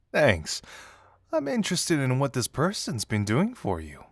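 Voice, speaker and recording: A man's voice: mid-range voice, huge pitch swings, unhurried, slightly rough, no echo, clean studio sound, full character voice. A man acting a playful, teasing young male detective in an audio roleplay, musing theatrically to the listener. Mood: teasing, intrigued. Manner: teasing, theatrical, musing hums and soft chuckles.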